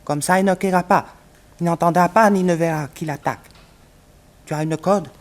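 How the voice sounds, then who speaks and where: Male accomplice played by an actor, grimly practical, clipped, somewhat high pitch, vintage radio drama. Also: normal speed, close to an everyday voice. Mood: grim, secretive. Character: businesslike, ruthless male accomplice in a night attack. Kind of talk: 1960s French radio play acting.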